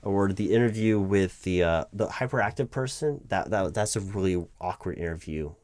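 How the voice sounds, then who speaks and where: A man's voice: low voice, normal speed, darkish tone, no echo, close to an everyday voice. A man playing a flustered, exasperated recruiting manager in a one-sided office roleplay, stammering and often correcting himself. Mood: exasperated, insistent. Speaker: roleplay performer. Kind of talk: improvised one-sided roleplay, loud spoken ASMR.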